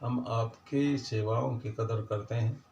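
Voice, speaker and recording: A man reading a moral story for children in a plain, halting way, with little change for the characters. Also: mid-range voice, steady tone, a little mellow. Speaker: storyteller, channel host. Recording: distant mic, echoey room, dull, faint noise.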